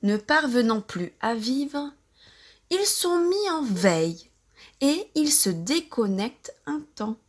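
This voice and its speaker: A woman with a French accent narrates a novel in a fairly high voice. She reads extremely slowly, phrase by phrase, with careful and deliberate enunciation suited to learners practising pronunciation. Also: sing-song. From France. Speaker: audiobook-style reader for language learners.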